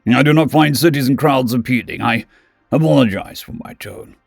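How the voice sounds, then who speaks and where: Man doing a huge bird-alien veteran, deep, clipped, cold, dry recording, faint music behind. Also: moderate pace, creaky, slightly rough, no echo. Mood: stiff. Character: huge male bird-like alien ex-soldier, curt and disdainful.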